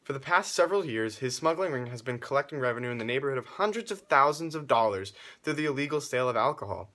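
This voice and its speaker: A man's voice: mid-range voice, expressive, fast, fairly bright tone. A man presenting a scripted 1920s-style news report, reading formally and addressing the viewers directly.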